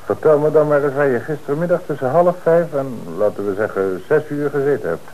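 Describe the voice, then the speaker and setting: An actor playing a stern, sceptical police inspector, questioning methodically and insistently in a Dutch radio drama. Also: male voice, fairly high pitch, brisk, lo-fi sound, close to an everyday voice.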